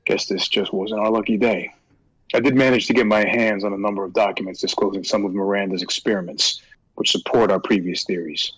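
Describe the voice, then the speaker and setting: A man's voice: on the low side, steady tone, a little fast, a bit bright, breathy edge, no room sound, low-quality recording. A man voicing a serious, grim investigator from a video game. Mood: grave. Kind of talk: video game character performance.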